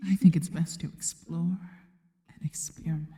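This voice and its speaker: Girl doing a spider monster lady asmr, slow breathy whisper, low pitched, possessive and teasing, super devoted, big reverb. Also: steady tone, warm tone, natural voice. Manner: slow, teasing, intimate delivery. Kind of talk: ASMR roleplay. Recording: long reverberant tail on the voice.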